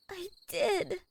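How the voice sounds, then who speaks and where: A woman voicing an earnest, guilt-ridden young huntress girl in an audio drama, halting and emotional. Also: very high voice, creaky, exaggerated voice. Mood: distraught, apologetic. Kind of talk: dramatic fan-fiction voice acting.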